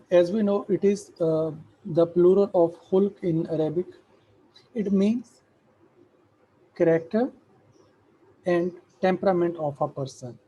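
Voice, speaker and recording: Slow, husky male lecturer. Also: high voice, dark tone, lo-fi sound. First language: Urdu. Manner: slow, measured, with hesitant fillers. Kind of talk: academic lecture.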